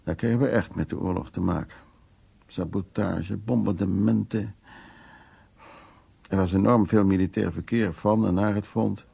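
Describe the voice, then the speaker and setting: An actor playing an older, frank and dominant man who reminisces intimately in short phrases, in a radio play with a husky, gravelly voice. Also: on the low side, steady tone, moderate pace, long pauses, warm tone, exaggerated voice. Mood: reflective.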